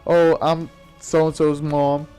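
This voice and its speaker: A man's voice: fairly high pitch, flat delivery, slow pace, mellow, smooth, soft background music, pitched above the performer's own voice. A man voicing a boy who pretends to be a fussy, mock-polite, concerned mother on a prank call.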